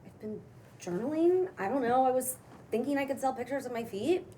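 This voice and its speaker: A woman's voice: high-pitched, expressive, a little fast, dark tone. A woman playing a defensive, self-pitying broke writer after a breakup, in a casual, conversational comedy-drama script read. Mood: sheepish, defensive. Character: Janie, mopey, defensive broke female writer, freshly dumped. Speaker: actor at a table read. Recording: distant mic, faint room noise.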